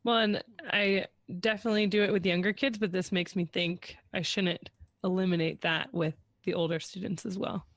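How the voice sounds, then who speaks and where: Higher pitched guy chatting on a podcast, very dry close sound. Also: steady tone, moderate pace, a bit bright, no echo. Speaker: podcast co-host. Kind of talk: conversational podcast discussion.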